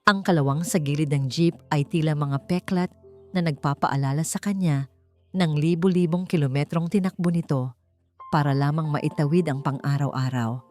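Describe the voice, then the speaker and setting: Low, mellow woman storyteller, Filipino accent. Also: moderate pace, faint music behind. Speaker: audiobook narrator. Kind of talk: dramatic scripted storytelling narration.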